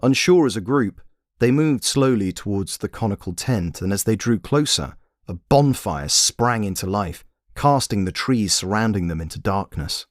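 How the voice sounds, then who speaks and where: Fiction narrator: man with a fairly low voice, dry studio-like sound, reading scripted prose. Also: expressive, moderate pace, dry recording. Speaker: author and narrator.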